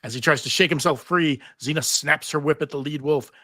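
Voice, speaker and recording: Male narrator for script read: slightly high, bright and punchy, reading action lines, dry sound. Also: a little fast, no room sound. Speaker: narrator reading stage directions.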